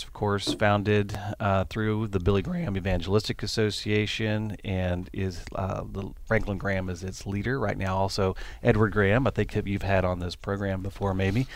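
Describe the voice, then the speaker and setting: Earnest low-voiced pastor with a South Texas accent. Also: male voice. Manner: earnest, steady, filler-heavy. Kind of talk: interview explanation.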